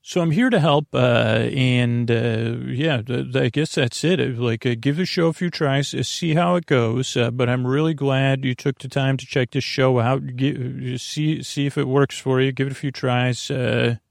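A man hosting a bedtime podcast in a creaky, lulling voice, meandering and repeating himself with halting pauses. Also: mid-range voice, steady tone, dry recording, clean studio sound. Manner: soothing, lulling. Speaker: podcast host. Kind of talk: rambling bedtime podcast monologue.

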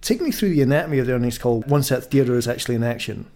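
A man's voice: mid-range voice, expressive, rapid-fire, dark tone. A man hosting a podcast conversationally, inquisitive and thinking aloud as he questions and recaps. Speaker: podcast host.

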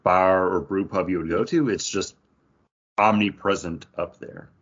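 An American man with a slightly low voice talks as a podcast co-host. His delivery is informal and conversational. The recording sounds a touch dull and muffled. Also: steady tone, a little slow, fairly bright tone, husky. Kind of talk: casual, joking chat.

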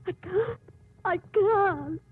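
An actress playing an earnest, stubborn, driven nun, intense and dramatic, in a 1950s radio drama. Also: female voice, very high-pitched, drawn-out words, exaggerated voice. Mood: urgent, pleading. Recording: thin narrowband old radio recording.

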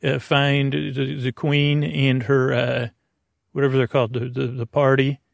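Gravelly guy doing a rambly sleep podcast, lots of hesitating and wandering off, really dry sound. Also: medium pitch, little pitch movement, no echo. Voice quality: gravelly, creaky. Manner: hesitant, halting, trailing off into tangents. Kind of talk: rambling, meandering bedtime monologue.

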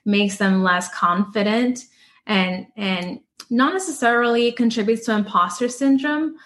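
A woman hosting an interview, asking measured questions and encouraging her guest. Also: on the high side, fairly bright tone. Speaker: podcast host. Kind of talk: interview conversation.

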